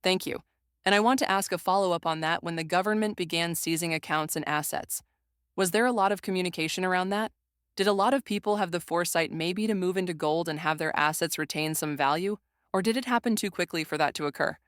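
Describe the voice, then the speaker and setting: A young adult interviewer with a very clear, smooth voice at a middling pitch, recorded dry. The delivery is polite and formal, moving through prepared questions and follow-ups. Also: fast, fairly bright tone, dry recording.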